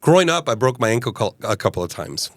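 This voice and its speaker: A man's voice: mid-range voice, big pitch swings, quick pace, no room sound. A man in his fifties from Uganda, a mayoral candidate telling his life story in a casual interview, earnest and expansive with frequent fillers. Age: 50s.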